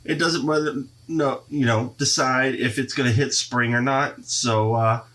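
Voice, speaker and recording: A man's voice: medium pitch, fairly even intonation, a little slow, fairly bright tone. Stuffy-nosed man hosting a chatty podcast. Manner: chatty, playfully boastful, many fillers. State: congested, stuffy nose. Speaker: podcast host. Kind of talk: casual solo podcast monologue.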